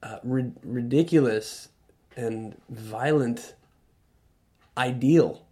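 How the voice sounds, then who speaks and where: Radio guest, male, earnest reflective talker who stops and restarts, mid-pitched voice. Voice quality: loud voice. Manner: earnest, halting with many restarts. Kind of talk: reflective conversational talk. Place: radio studio.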